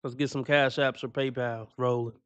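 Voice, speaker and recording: A man's voice: medium pitch, little pitch movement, normal speed, a bit bright, smooth, dry recording, highs cut off. A man hosting a livestream, reacting to a played clip with blunt, mocking commentary. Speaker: livestream host reacting to clips.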